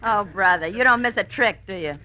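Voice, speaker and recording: A woman's voice: medium pitch, brisk, dull audio, lightly acted. A woman playing a dry, teasing companion who needles a vain star in 1940s radio comedy. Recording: noisy old radio broadcast recording.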